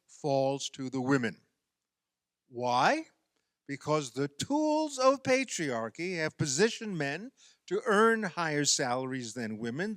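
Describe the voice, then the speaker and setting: A man delivering a sermon as a minister, in measured, emphatic oratory with wry, dry humour. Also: a little high, a bit bright, dry recording.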